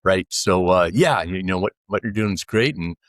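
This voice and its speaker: Soft-spoken man in his sixties, rambling. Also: deep voice, expressive, moderate pace, no echo, clean studio sound. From United States. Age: 60s. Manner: rambling, frequent fillers and restarts. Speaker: podcast guest. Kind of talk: casual interview conversation.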